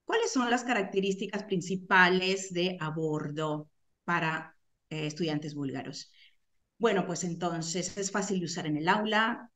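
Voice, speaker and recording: A woman's voice: fairly low pitch, unhurried, fairly bright tone. A middle-aged woman from Peru with a Peruvian Spanish accent, presenting a teaching webinar over a video call, speaking with frequent fillers and self-corrections.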